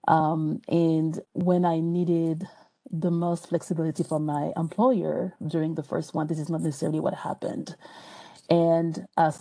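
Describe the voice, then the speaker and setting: French-accented woman with a gravelly, dark voice. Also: low-pitched, flat delivery, measured pace, rough recording. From France. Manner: earnest, reflective, frequent hesitations and restarts. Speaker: podcast guest. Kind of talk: personal interview talk.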